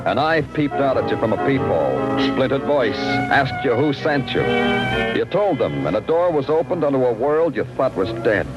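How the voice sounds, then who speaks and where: An actor playing a world-weary, brooding police detective and narrator in a 1950s radio noir drama, terse and hard-boiled. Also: male voice, medium pitch, sing-song, moderate pace, highs cut off, close to an everyday voice. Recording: old radio recording with loud music.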